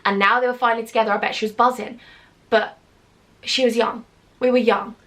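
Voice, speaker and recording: A woman's voice: a little high, steady tone, brisk, a bit bright. A young British woman telling a personal storytime on her vlog, chatty and confiding with sarcastic asides. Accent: British English. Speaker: YouTuber.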